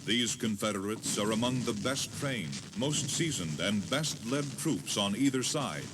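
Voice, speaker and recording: Low-voiced male narrator, heavy tape noise. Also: animated, unhurried. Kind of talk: scripted dramatic history narration. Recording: very noisy old cassette tape.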